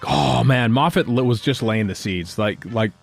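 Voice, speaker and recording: A man's voice: mid-range voice, moderate pace, vocal fry. A middle-aged man hosting a fan podcast in casual, chatty, rambling talk. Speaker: podcast host.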